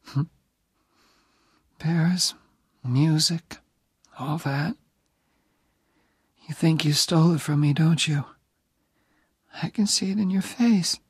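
A man's voice: fairly high pitch, takes it slowly, pauses often, no echo, clean studio sound, voice pushed up, exaggerated voice. A man whispering as a frail dying young woman. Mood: fragile. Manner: breathy whisper. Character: dying young woman, weak, teasing, tender.

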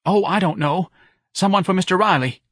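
Audiobook role: man playing anxious, meek male office manager, high shrill pipe, flustered. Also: fairly quick, a bit bright, slightly rough, dry recording, clean studio sound. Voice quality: shrill, piping. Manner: quavering, flustered. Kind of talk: audiobook character voice.

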